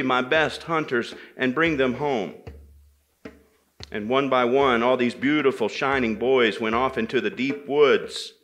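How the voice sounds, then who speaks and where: A man tells an old folktale live, in a bright, forceful voice of medium pitch. He is deliberate, repeating phrases and pausing for dramatic weight. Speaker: storyteller at a men's gathering. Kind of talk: live oral storytelling of a folktale, dramatic pauses and repetition.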